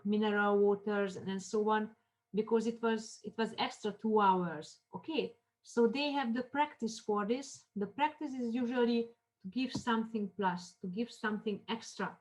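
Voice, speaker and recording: A woman from Armenia giving a classroom lecture in halting English, with many fillers and restarts as she walks through marketing case studies. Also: mid-range voice, fairly even intonation, rough recording.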